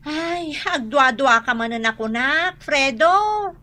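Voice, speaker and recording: High-voiced, exasperated radio drama wife. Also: female voice, slow, full character voice. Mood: defensive, exasperated. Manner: melodramatic, expressive. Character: younger wife of a jealous husband, defensive and exasperated.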